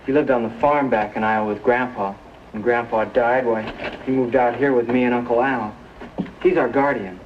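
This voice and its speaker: A man's voice: medium pitch, steady tone, normal speed, natural voice. Protective, earnest American teen brother, played by a man, 1950s television drama, dull hissy sound. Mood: polite, subdued. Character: earnest, protective older brother in his late teens. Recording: muffled, noisy old 1950s TV soundtrack.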